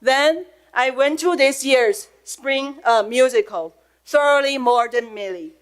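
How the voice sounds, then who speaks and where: A middle-aged woman with a Chinese accent addresses a school board from prepared notes. Her voice sits slightly high. She speaks earnestly, with feeling held close to the surface. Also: a little slow, smooth. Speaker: public commenter at a school board meeting. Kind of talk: prepared public comment. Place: public meeting room.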